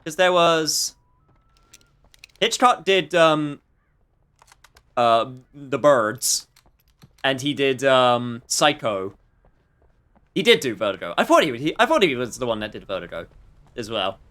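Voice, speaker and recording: A man's voice: medium pitch, big pitch swings, slow pace, long pauses, fairly bright tone. A man giving casual, wry gameplay commentary as a streamer, joking at his own expense and thinking aloud. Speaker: streamer playing a game. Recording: faint game music behind.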